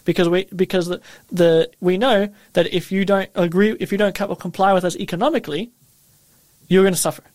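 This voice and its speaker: A man with an American accent who grew up in Australia talks quickly in a slightly high voice, full of brisk agreement. The studio sound is dry and close, with no room echo. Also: fairly even intonation, a little mellow. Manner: brisk, agreeable, frequent affirmations. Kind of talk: casual Bible prophecy discussion.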